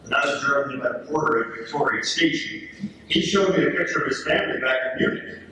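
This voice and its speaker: A man's voice: medium pitch, lively intonation, quick pace, natural voice. A man playing a British soldier earnestly narrating a wartime letter in a readers theater performance. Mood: storytelling. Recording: very echoey room, distant mic, faint noise.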